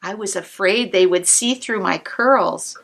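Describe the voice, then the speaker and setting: A woman cantor reading a picture book aloud to children, pausing to explain the pictures, sometimes dropping to a whisper. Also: medium pitch, gravelly. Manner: slow, expressive storybook reading with soft whispered asides. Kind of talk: read-aloud storytime with asides to kids.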